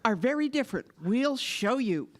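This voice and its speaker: A woman's voice: a little high, expressive, moderate pace. A woman presenting live children's audio theater about sound effects, instructing a young audience. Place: live library event before an audience.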